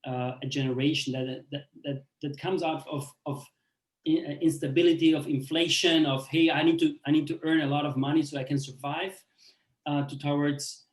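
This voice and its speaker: A man in his forties who grew up in Austria, telling his own story on a panel in a halting way, with many restarts and fillers. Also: a little high, fairly even intonation. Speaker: speaker on a panel. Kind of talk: personal story in a talk. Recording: roomy echo.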